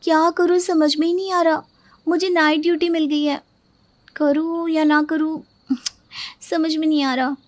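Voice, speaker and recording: A woman voicing a lively, easily upset young working daughter-in-law in a cartoon story. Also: high voice, unhurried, long pauses, slight background noise, pitched above the performer's own voice. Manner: expressive, complaining and pleading.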